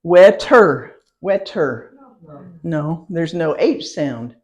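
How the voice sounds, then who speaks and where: A woman teaching a citizenship class, talking slowly and repeating each word for her learners. She is patient and laughs lightly between points. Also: on the low side, expressive, a little mellow. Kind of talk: classroom pronunciation lesson.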